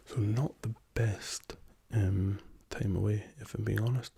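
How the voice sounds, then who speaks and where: A young man with a hoarse, raspy voice from a lingering cough, talking softly and hesitantly as an ASMR host, slipping into whispers. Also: on the low side, steady tone. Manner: soft-spoken, slow and hesitant with many ums, drifting into whispers. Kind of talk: soft-spoken ASMR travel chat.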